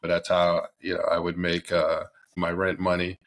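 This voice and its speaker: A man's voice: low-pitched, monotone, moderate pace, fairly bright tone. A middle-aged man from Barbados, a company head as podcast guest, talking casually with many fillers and restarts. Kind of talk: casual interview.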